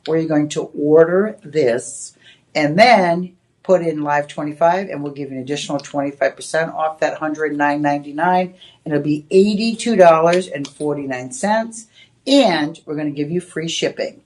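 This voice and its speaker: A woman's voice: deep voice, moderate pace, a little mellow. Chatty Boston woman selling on TV. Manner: chatty, rambling, with many "you know"s. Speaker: home shopping host. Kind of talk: live on-air sales pitch. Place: TV shopping studio.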